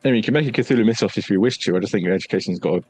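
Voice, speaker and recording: A man narrating a tabletop horror game as game master, deliberate and pausing for suspense. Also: lowish voice, a little mellow, husky.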